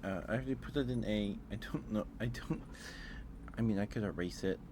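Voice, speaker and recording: A man's voice: on the low side, fairly quick, noisy background. A man streaming ASMR in a casual, hesitant way, repeating his phrases as he thinks aloud. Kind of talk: ASMR livestream, casual chat ranking things.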